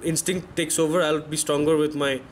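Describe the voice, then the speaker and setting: A man's voice: a little high, little pitch movement, normal speed, slight background noise. A man in his thirties, a fitness vlogger, giving candid, self-correcting voice-over commentary on his own workout footage. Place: voice-over, not recorded in the pictured gym.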